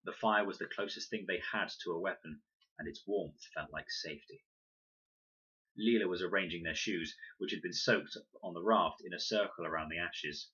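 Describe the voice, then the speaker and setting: Male storybook reader: low, bright-edged voice, scripted narration, slightly lo-fi. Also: moderate pace, husky. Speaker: audiobook reader.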